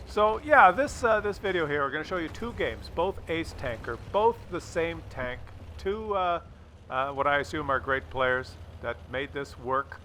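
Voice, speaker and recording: A man with a bright, forceful and slightly high voice commentates game replays in a casual way. He is jokey and crude and often repeats his phrases. Vehicle noise from the game is clearly heard behind him. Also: animated, unhurried. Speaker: host of gameplay commentary video.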